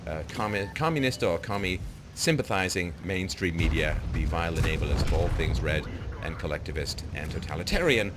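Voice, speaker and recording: A man's voice: expressive, fairly quick, very noisy. A man giving a sarcastic political commentary, dry and mocking in tone. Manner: sardonic, mocking quotes in exaggerated high voices. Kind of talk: sarcastic political monologue over gameplay.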